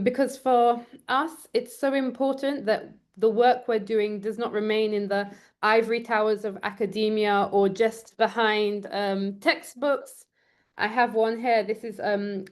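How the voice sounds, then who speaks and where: A woman's voice: mid-range voice, a little mellow. A woman law lecturer, born in Trinidad and raised in London, giving an online presentation with many ums and restarts. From Trinidad and Tobago, raised in London. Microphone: video call.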